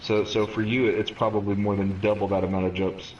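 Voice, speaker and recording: A man's voice: fairly low pitch, level tone, a little fast, darkish tone, muffled recording. A man in his thirties, raised in America, hosting a casual gaming stream chat and rambling with repeated words as he thinks aloud. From Cayman Islands, raised in America. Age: 30s. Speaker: stream host. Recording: roomy, distant mic with faint noise.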